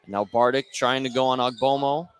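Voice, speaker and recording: A man's voice: mid-range voice, fairly even intonation, moderate pace. Man calling basketball play-by-play, noisy background. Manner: clipped running phrases following the action. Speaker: play-by-play announcer. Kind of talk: live basketball radio commentary. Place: indoor basketball game. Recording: clear background noise from live gym.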